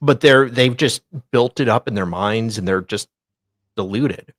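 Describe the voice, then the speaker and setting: A woman's voice: extremely low pitch, a little slow, no room sound, clean studio sound. A woman co-host reading documents aloud in a theatrical, mocking way, breaking off for casual asides. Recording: faint music behind voice. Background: faint music.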